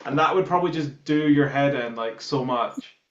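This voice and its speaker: A man's voice: mid-range voice, moderate pace, reverberant, highs cut off. A man with a Scottish accent chatting casually and joking as an interview guest. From Scotland. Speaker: band member being interviewed. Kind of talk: casual chat.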